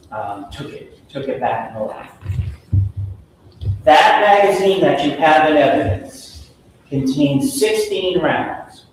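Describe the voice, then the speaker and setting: Male prosecutor delivering a closing. Deliberate, slow, emphatic phrasing, heard in a very echoey room from far off. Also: on the high side. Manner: emphatic, declarative, short repeated phrases. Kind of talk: courtroom closing argument. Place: large indoor courtroom. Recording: echoey courtroom from far off, faint noise.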